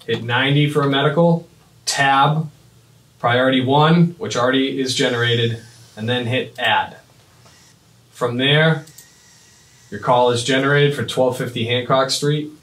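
A man in his thirties giving a step-by-step software demonstration in short, clipped instructional phrases. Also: medium pitch, fairly even intonation, a little slow, long pauses. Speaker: fire dispatch trainer. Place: small indoor office at a computer desk. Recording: roomy, echoing, mic at a distance.

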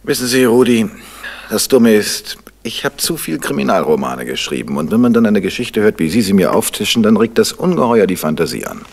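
Casting: urbane middle-aged novelist-sleuth in a radio play, low and husky, ironic, skeptical. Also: male voice, very animated, exaggerated voice. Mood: teasing. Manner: unhurried, ironic, hesitating for effect. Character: shrewd, urbane male crime novelist, skeptical and teasing. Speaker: lead actor in a crime radio play. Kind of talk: 1970s German radio drama acting.

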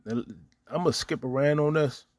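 A man's voice: a little high, normal speed, a little mellow, no echo, clean studio sound. A man giving casual religious commentary on the news, reading scripture aloud and tagging nearly every point with "okay?". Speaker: online preacher commenting on news. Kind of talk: casual religious commentary over screen recording.

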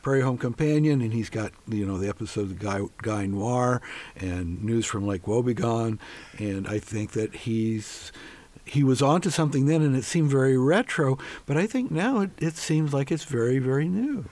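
A man hosts a podcast with a very animated delivery. His voice is recorded close and dry, and he moves between conversational chat and promotional talk. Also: medium pitch, moderate pace, no echo. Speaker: radio host.

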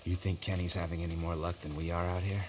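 A man's voice: low-pitched, little pitch movement, fast, background noise, close to an everyday voice. A man with an American accent playing a frustrated, hungry, talkative survivor in a video game. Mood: grumbling, wry.

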